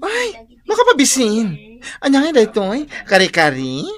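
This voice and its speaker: A woman acting a nosy, teasing, hungry friend in a comedic radio drama, exclamatory and drawn-out, with an Ilocano Filipino accent. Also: a little high, wildly swooping pitch.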